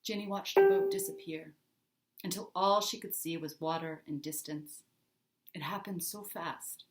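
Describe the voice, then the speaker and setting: Female storyteller, expressive children's book reading, lowish pitch, clearly roomy sound. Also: a little slow, over loud music. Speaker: author reading own book. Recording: roomy, echoing indoor sound.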